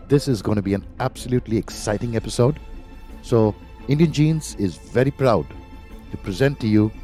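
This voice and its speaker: A man's voice: lowish voice, mellow. A man hosting a podcast, welcoming a guest with an enthusiastic, effusive introduction and asking long questions. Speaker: podcast host.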